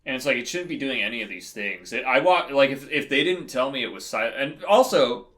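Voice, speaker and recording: A man co-hosting a casual film critique chat, talking in a halting, rambling way. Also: mid-range voice, animated, a bit bright. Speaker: podcast co-host.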